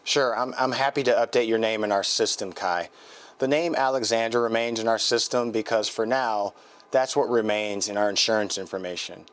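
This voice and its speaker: A man's voice: lowish voice, normal speed, a bit bright, clean tone, natural voice. A man with an American accent playing a polite, helpful clinic receptionist in a scripted training role-play call. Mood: reassuring, explanatory. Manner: courteous, accommodating, procedural.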